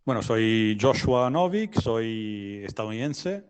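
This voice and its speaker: A middle-aged man from Italy, a non-native Spanish speaker, explaining his work as an interview guest in a halting way, searching for words. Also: on the low side, very animated, smooth. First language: not Spanish. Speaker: interview guest, business advisor. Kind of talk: conversational explanation.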